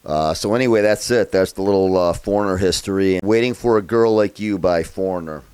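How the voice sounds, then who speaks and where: A man from upstate New York giving a relaxed, chatty piano lesson, thinking out loud as he plays by ear. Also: deep voice, a little fast, smooth. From Rochester area, New York, USA. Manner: relaxed and chatty, thinks aloud while playing. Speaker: piano tutor. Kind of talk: casual play-by-ear piano tutorial. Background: loud piano playing.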